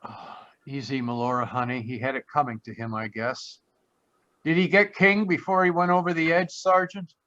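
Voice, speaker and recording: A man's voice: medium pitch, level tone, moderate pace, pushed and bright, slight background noise, natural voice. An actor playing an easygoing, affectionate young man in an old-time radio adventure. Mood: earnest, easygoing. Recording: slightly dull video-call audio.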